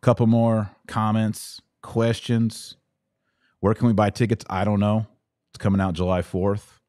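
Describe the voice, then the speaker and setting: A man's voice: fairly low pitch, flat delivery, a little slow, darkish tone, dry recording, studio quality. An American man hosting a casual livestream, chatting informally with playful slang as he answers viewers. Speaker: podcast host.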